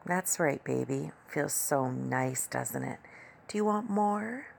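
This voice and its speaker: A man's voice: fairly high pitch, expressive, slow pace, vocal fry, a little hiss or hum, pitched above the performer's own voice, heavily acted. A man voicing a teasing, dominant adult woman in an audio story, coaxing and confident. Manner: coaxing pet names, teasing commands.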